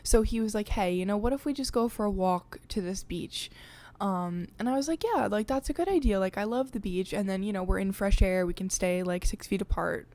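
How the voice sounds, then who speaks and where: A woman's voice: mid-range voice, brisk, darkish tone. Chatty young woman hosting a podcast. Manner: chatty, confiding, lots of filler words. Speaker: podcast host. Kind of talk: casual chat. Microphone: desk podcast mic.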